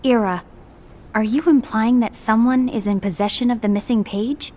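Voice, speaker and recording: An actress playing a composed, diplomatic young woman in a fantasy game, speaking formally and with measured politeness. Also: on the high side, a little mellow, a little hiss or hum, close to an everyday voice. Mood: concerned. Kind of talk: scripted game cinematic acting.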